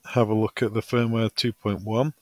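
A man's voice: lowish voice, level tone, moderate pace, no room sound. Man narrating a tutorial, dry close sound. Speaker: technical trainer. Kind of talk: step-by-step software tutorial narration.

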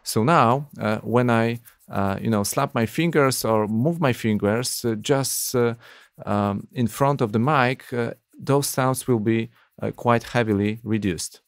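A man's voice: medium pitch, measured pace, no echo. A man in his thirties casually reviewing an audio plugin from his small home studio, hesitant, with many fillers. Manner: hesitant, many uh fillers, slightly non-native phrasing. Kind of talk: casual tech review talk. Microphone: studio condenser mic on arm.

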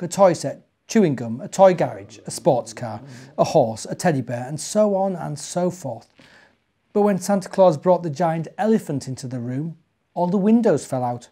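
Mellow British man reading to children. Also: a little high. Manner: expressive, deliberate, addressing young children. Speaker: church minister reading stories to children. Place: living room, indoors.

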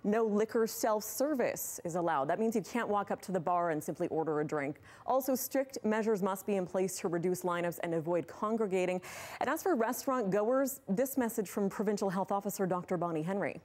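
A woman's voice: medium pitch, expressive, a little fast, darkish tone. Woman news reporter, live studio report.

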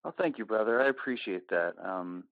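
A man's voice: fairly low pitch, expressive, fairly quick, dry recording. A man casually moderating an online group meeting in an informal, loosely phrased way. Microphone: online voice call. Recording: dull lo-fi online call audio.

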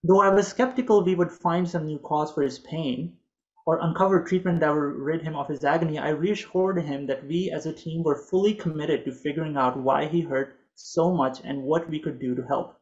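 A man in his thirties whose first language is Urdu, reading a prepared talk in a measured, earnest way over a video call from a home office. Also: a little high, steady tone, a little mellow, lo-fi sound. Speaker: physician presenter.

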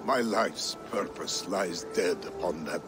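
A weary old Viking counsellor in a game drama. He is solemn, loyal and resigned, with a low voice over clear music. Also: slow pace. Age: older. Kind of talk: video game dramatic acting.

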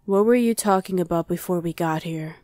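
A woman's voice: lowish voice, a little fast, dark tone, no room sound, studio quality, lightly acted. A woman playing a curt, guarded female demon in an ASMR roleplay audio drama.

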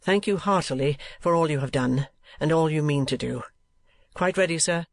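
A performer voicing an earnest, polite young gentleman from a Victorian novel, sincere and quick to speak. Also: mid-range voice, natural voice. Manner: quick, well-spoken, sincere.

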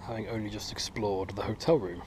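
A man's voice: on the low side, fairly even intonation, fairly quick. A man in his thirties chatting casually as a vlogger. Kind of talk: casual vlog chat. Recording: noisy camera recording.

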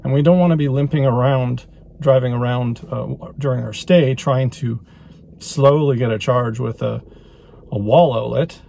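A man's voice: medium pitch, a little slow, vocal fry, slight background noise. Man vlogging from inside his car. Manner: relaxed, explanatory, with fillers and restarts. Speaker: EV road trip vlogger. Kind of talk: casual vlog narration while driving. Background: music playing under the voice.

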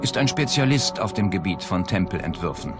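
A man's voice: deep voice, sing-song, brisk. A man reading a scripted documentary narration. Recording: music bed under the voice. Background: loud background music.

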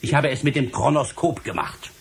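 An actor playing a stern, exasperated government official in a radio play, lecturing in an emphatic, theatrical, rhetorical way. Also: male voice, mid-range voice, lively intonation, normal speed, creaky, husky. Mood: grave, triumphant. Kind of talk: German radio play acting.

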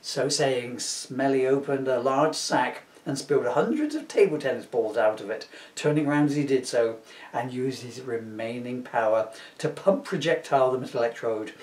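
A middle-aged man reading a comic story aloud in an animated storytelling style. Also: medium pitch. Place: small room at home. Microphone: camera a couple of metres away. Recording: roomy, distant camera microphone.

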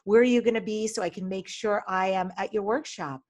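A woman's voice: mid-range voice, steady tone, fairly quick, a little mellow. Upbeat woman podcast host on video call. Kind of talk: podcast interview. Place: home room with folding screen. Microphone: studio desk mic in front, video call.

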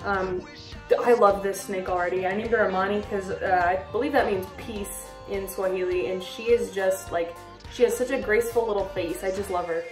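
A young woman in her twenties talks casually in a vlog, bubbly and gushing, now and then squealing in a high baby-talk voice. She is recorded in a small room with a roomy echo, sitting a little back from the mic. Faint music plays behind her. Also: fairly even intonation, normal speed, far from the mic. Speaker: reptile keeper vlogger. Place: small bedroom reptile room indoors. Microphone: camera a little way off.

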